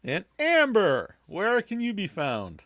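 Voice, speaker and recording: A male podcast host with a fairly high voice and very animated pitch. He chats casually with guests, and the sound is dry with little room to it. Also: slow, no room sound. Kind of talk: casual chat.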